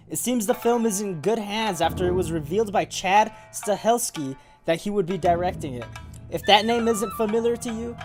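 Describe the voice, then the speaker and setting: A man narrating a scripted countdown of film news, with casual asides. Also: high-pitched. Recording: loud music under the voice. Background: music.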